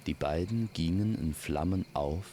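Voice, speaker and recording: A man's voice: deep voice, unhurried, noisy background. A man narrating a scripted fairy tale, speaking intimately to the listener as to a friend. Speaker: storyteller.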